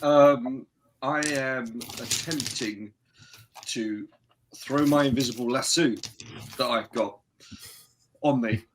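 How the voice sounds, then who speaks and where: A man's voice: mid-range voice, slow pace, pauses often, poor audio. Male game player over background noise. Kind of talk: casual game talk.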